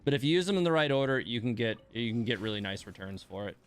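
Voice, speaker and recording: A man's voice: mid-range voice, lively intonation, fast, clean tone. A man giving casual live game commentary as a streamer, thinking aloud in a laid-back way.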